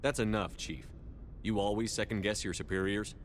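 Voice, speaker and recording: An actor playing a military starship commander in a game, firm and steady, with clipped authority. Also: male voice, fairly low pitch, moderate pace, slight background noise, lightly acted. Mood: firm, probing.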